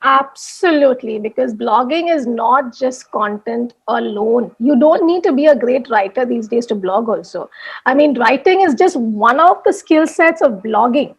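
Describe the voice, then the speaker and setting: A woman's voice: on the high side, expressive, normal speed, vocal fry, breathy edge, poor audio. A woman with an Indian English accent, answering interview questions about her blogging over a video call in a chatty way, full of restarts and fillers. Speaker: blogger guest. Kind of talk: candid interview chat.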